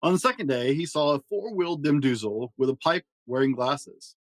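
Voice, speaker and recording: A man narrating a children's story aloud in an expressive, animated way with dramatic pauses. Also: fairly high pitch. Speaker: podcast host reading a children's storybook aloud.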